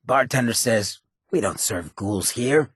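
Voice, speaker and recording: Game companion casting: an irreverent, swaggering male ghoul, heavily rasped and dry-miked, wry slangy drawl, loyal but cutting. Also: medium pitch, lively intonation, measured pace, a bit bright, no echo. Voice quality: raspy, gravelly. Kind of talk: video game companion voice lines.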